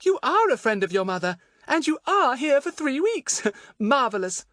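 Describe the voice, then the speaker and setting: A man voicing an excitable, admiring young girl in a children's audiobook, gushing and exclamatory. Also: extremely high pitch, moderate pace, no room sound, clean studio sound, voice pushed up, exaggerated voice. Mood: giggly delight.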